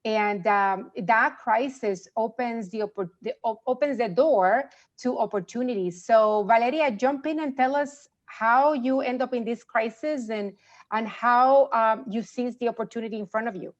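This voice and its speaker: A woman's voice: a little high, moderate pace, lo-fi sound. A woman hosting a fireside chat, giving prepared introductions and putting questions to her guest. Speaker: fireside chat host, corporate marketing consultant.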